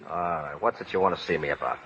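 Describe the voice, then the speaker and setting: An actor playing a proud, hot-tempered working-class young husband in 1930s radio drama, with gruff, slangy talk. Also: male voice, rumbling low, quick pace, fairly bright tone, a little hiss or hum. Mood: guarded, curt. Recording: muffled, lo-fi 1930s radio broadcast.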